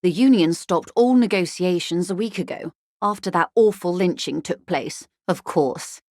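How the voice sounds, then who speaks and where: An actress playing a bourgeois corporate negotiator in a video game, polite and articulate, with a measured, drily condescending wit. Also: female voice, on the low side, darkish tone, no room sound, clean studio sound, close to an everyday voice. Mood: composed, businesslike. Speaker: senior labour negotiator. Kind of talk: video game dialogue voice acting.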